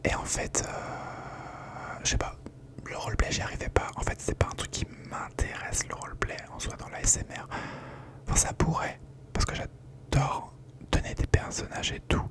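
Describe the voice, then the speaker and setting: Chatty whispering young man, ASMR ramble. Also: moderate pace. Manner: whispered throughout, chatty, slangy, rambling with many fillers. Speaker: ASMR creator. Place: at home.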